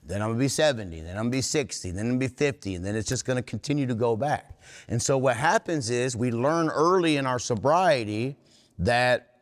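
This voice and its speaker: A man's voice: mid-range voice, brisk. A man giving a candid, plain-spoken talk to a recovery group, driving home his points by repeating and rephrasing them. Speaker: workshop speaker at a recovery meeting. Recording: reverberant hall.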